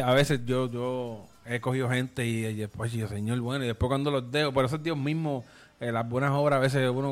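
A Puerto Rican man in his forties with a medium-pitched voice. He chats casually and tells stories in colloquial language. He tends to repeat himself as he goes, with an animated delivery. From Puerto Rico. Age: 40s. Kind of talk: casual banter and storytelling.

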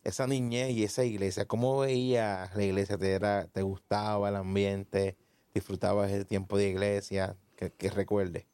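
Lowish-voiced man hosting a faith podcast. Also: level tone, moderate pace, darkish tone, clean tone, no echo. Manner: encouraging, finishes the guest's sentences. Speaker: podcast host and interviewer.

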